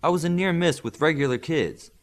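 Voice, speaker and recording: A man's voice: on the high side, fairly quick, creaky, pitched above the performer's own voice. A man reading as a modest, awkward teenage male superhero in an audiobook, plain and guarded. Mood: puzzled.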